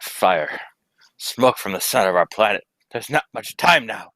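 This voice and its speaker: A solemn, commanding father and scientist from an old radio serial, acted by a man. The voice is low and forceful, dramatic and urgent as doom closes in. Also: animated, moderate pace, no room sound. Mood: grave, solemn.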